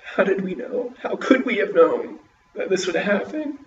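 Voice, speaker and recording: A man's voice: high voice, big pitch swings, normal speed, a little hiss or hum, voice pushed up. A man voicing a guilt-ridden father, pleading defensively. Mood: anguished. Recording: roomy distant muffled recording.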